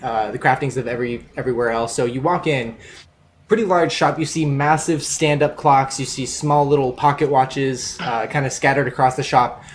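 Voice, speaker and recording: A man's voice: medium pitch, a little hiss or hum. A man narrating as game master over a voice call, rambling with many fillers and restarts. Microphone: headset over a voice call.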